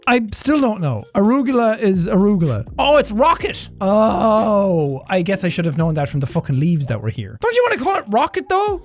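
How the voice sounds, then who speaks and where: Male YouTuber reacting to posts: bouncy, swings pitch up and down, swears a lot, faint music behind. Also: normal speed, hardly any pauses. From Ireland. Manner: energetic, sweary, laughs often, mock-outraged rants. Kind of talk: reading and reacting to online posts.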